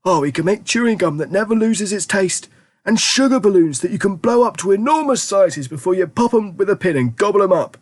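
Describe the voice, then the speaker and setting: A man voicing an excitable ancient grandfather. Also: high-pitched, brisk, no room sound, pitched above the performer's own voice. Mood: savouring. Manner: exclaiming, emphatic, warmly theatrical. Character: excitable very old grandfather, eager storyteller. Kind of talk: audiobook character reading.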